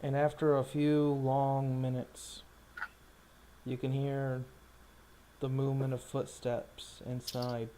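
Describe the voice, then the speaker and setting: A man acting as game master, improvising scene descriptions for a tabletop roleplaying game in a halting way. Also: mid-range voice, flat delivery, mellow, slight background noise.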